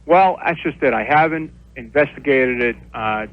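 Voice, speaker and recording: A young man calling a radio show for advice, speaking casually in a halting, hesitant way. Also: medium pitch, dry recording. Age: young adult. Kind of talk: casual phone consultation. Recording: dull lo-fi phone line on radio.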